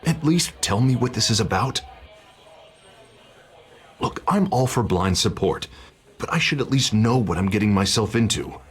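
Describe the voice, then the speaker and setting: Animated, low-voiced American politician. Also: male voice, normal speed, pauses often, husky. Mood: wry, exasperated. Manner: emphatic, wry, conversational. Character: wary, loyal politician friend, wry and exasperated. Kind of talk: video game voice acting.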